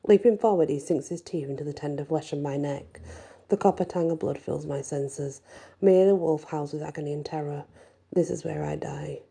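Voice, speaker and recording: A woman reads a fantasy tale in a very dark, mellow voice with a breathy husk. Her tone sits low and creaks into fry at times. She tells it softly and expressively, ASMR-style. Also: normal speed. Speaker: storyteller reading a fantasy audiobook. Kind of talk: ASMR-style first-person dramatic story narration.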